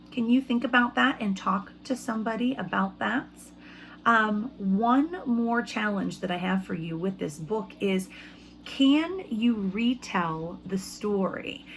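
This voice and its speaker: A woman speaking as an elementary school teacher, reading aloud to children in simple, expressive language and putting questions to them. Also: a little high, a little hiss or hum, low-quality recording.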